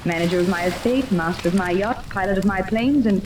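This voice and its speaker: A woman's voice: mid-range voice, fairly quick, lightly acted. A woman playing a kindly, self-assured wealthy lady with a firm, reassuring manner in a 1930s radio serial. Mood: firm, amused. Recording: noisy 1930s radio recording, rain behind.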